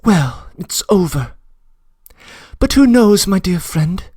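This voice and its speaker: A man's voice: high-pitched, big pitch swings, takes it slowly, a little mellow, slightly rough, dry recording, exaggerated voice. A man voicing a passionate young woman who pleads earnestly, close to tears, in an audiobook reading. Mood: tearful pleading. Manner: trembling, earnest, breathless confession. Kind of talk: audiobook reading of a classic novel.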